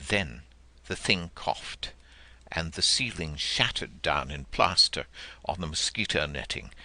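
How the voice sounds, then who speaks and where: Very deep, bright, forceful male narrator. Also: vocal fry. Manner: measured, expressive storytelling. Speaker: audiobook narrator. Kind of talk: dramatic literary audiobook narration.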